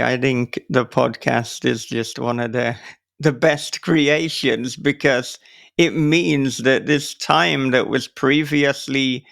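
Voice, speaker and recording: A man's voice: a little high, gravelly, fairly bright tone, no room sound, studio quality. A man, hosting a casual podcast interview in a reflective, discursive way. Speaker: podcast host.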